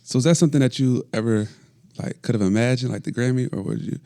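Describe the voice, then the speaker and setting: A woman's voice: very deep voice, fast talker, mellow, no room sound, studio quality. A woman co-hosting an interview, asking questions and reacting with enthusiasm. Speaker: podcast co-host. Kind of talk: casual interview chat. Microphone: boom mic on a stand.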